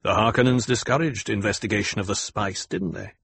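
A commanding nobleman acted by a male narrator, husky and closely, dryly recorded. He is shrewd and composed, giving courteous orders and questions in a measured audiobook performance. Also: lowish voice, a bit bright, dry recording, full character voice. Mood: probing, cool. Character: calm, commanding, shrewd noble duke, a grown man. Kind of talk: audiobook character acting.

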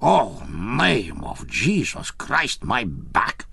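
Man acting a theatrical starving Russian, low and creaky. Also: huge pitch swings, very slow, sharp tone, exaggerated voice. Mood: groaning, pained. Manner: exclamatory, dramatic. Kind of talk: audiobook character dialogue.

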